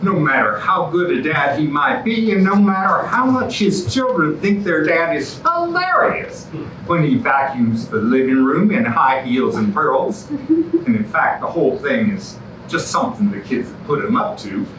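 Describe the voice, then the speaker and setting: Man acting a pompous TV psychologist, slow and swooping. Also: a little high, very animated, a bit bright. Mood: smug. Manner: pompous, self-congratulatory. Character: pompous, self-adoring male TV psychologist. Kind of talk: musical comedy staged reading. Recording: echoey, noisy, distant stage recording.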